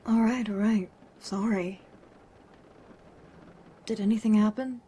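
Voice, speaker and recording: A woman's voice: mid-range voice, steady tone, slow, mellow, husky. A woman playing a blunt, teasing, take-charge survivor in a zombie audio drama, casual and bossy. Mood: teasing, practical.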